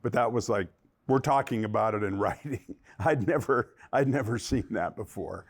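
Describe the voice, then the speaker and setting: A man's voice: fairly low pitch, moderate pace, husky. A man, a lawyer, telling an anecdote in a documentary interview, quoting himself and others.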